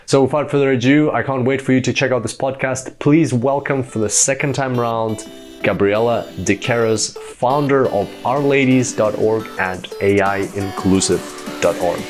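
A man's voice: mid-range voice, moderate pace, faint music behind. A man hosting a podcast, introducing and interviewing a guest in an enthusiastic, chatty way. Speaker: podcast host. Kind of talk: upbeat interview chat.

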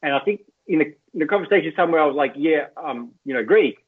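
A man's voice: a little high, fast talker, phone-quality sound, slight background noise. A middle-aged Australian man chatting as a guest, telling stories with lots of fillers and restarts. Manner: relaxed storyteller, many fillers. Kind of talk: casual chat.

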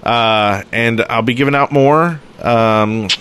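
A man's voice: medium pitch, takes it slowly, a bit bright, clean tone. A man co-hosting a podcast in casual, joking chat, with a dry, wisecracking manner. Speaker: podcast co-host.

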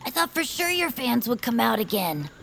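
An actress playing an eager, scheming young superfan who calls herself a space commander, talking persuasively. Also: female voice, fairly high pitch, big pitch swings, moderate pace, vocal fry, a bit bright, slight background noise, exaggerated voice. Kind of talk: audio drama acting.

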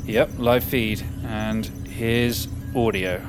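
Nervy tech operator, informal and halting, medium pitch, music underneath. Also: male voice, fairly even intonation. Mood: focused. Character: jittery, hesitant male tech operator under strain. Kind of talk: audio drama acting.

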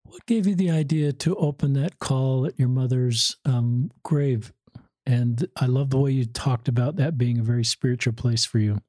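A man's voice: mid-range voice, moderate pace, dark tone, dry recording. Male podcast interviewer, dry close sound. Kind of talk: conversational interview.

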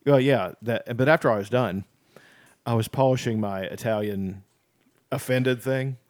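A man's voice: mid-range voice, a little slow. A man telling joking stories in casual podcast chat. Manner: joking storyteller, lively.

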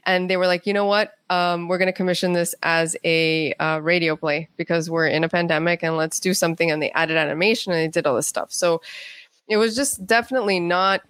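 A woman's voice: on the low side, fairly even intonation, brisk, a bit bright, dry recording. A woman speaking casually as an interview guest, a writer telling stories in a chatty way and acting out bits of quoted conversation.

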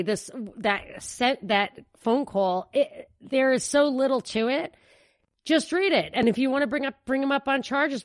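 A woman's voice: a little high, no echo, studio quality. An American woman co-hosting a podcast, giving casual, opinionated and emphatic political commentary. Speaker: podcast co-host.

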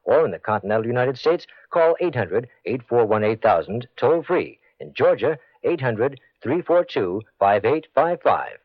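A man's voice: mid-range voice, sing-song, normal speed, hardly any pauses, husky, dry recording. A man reading a scripted radio public-service spot as an announcer. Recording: dry, muffled old radio spot.

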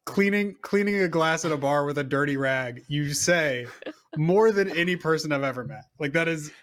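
A man's voice: fairly high pitch, fairly bright tone. Jokey man in his thirties. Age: 30s. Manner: jokey, teasing, quick asides. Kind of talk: casual chat.

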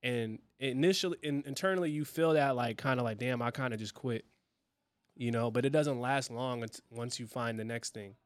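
Dude hosting a podcast, mid-pitch, dry close mic, lots of yeahs and thoughtful questions. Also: male voice, normal speed, dry recording. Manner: affirming, asks reflective questions. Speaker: podcast host. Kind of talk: casual chat.

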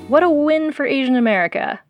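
A woman's voice: sits high, animated, brisk, dull audio, soft background music. A woman born in South Korea and raised in the US, hosting an audio documentary in a wry, sardonic voice with pop-culture slang. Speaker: producer and host. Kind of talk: scripted audio documentary narration.

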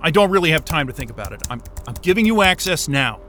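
A frayed, stubborn scientist in a science fiction audio drama. His voice sits high and has a bright, tense edge. He is wry, foul-mouthed and easily agitated, with soft music underneath. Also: very animated, fast. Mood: determined, wry. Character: frayed, stubborn, sardonic astrophysicist held in a lab. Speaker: lead actor in an audio drama. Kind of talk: naturalistic sci-fi audio drama acting.

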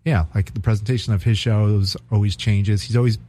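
A man co-hosting a music podcast, chatting casually and reading quiz questions aloud with some halting restarts. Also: lowish voice. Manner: relaxed, halting, reads quiz cards aloud. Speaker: podcast co-host.

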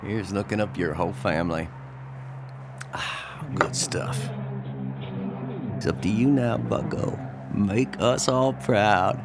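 An actor playing a shady, breezily ruthless older brother and political fixer in an audio drama, with a folksy, glib and coarse manner. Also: male voice, lowish voice, steady tone, slow pace, long pauses, background noise. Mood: joking.

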